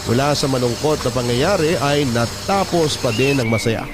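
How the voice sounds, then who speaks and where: A man's voice: medium pitch, normal speed. A man with a Filipino accent narrating a sentimental story as a radio host reading a letter. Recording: music behind the voice.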